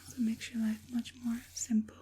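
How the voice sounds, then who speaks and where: A woman playing a sinister, coaxing witch puppet master in a whispered ASMR roleplay, speaking softly and deliberately in a breathy whisper. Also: on the high side, completely flat delivery, slight background noise, close to an everyday voice. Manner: slow, soothing, deliberate, menacingly sweet.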